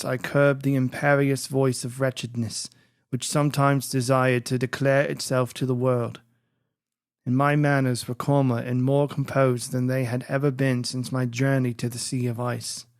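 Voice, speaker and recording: A narrator reading a literary story in a formal, measured, dramatic audiobook style. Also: medium pitch, dry recording, studio quality.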